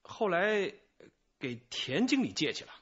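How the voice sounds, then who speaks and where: Radio drama part: middle-aged male informant, high bright voice, wordy, evasive, self-excusing. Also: very animated, a little slow, husky, lo-fi sound, exaggerated voice. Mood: explaining. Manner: chatty, rambling, sighing, hedging.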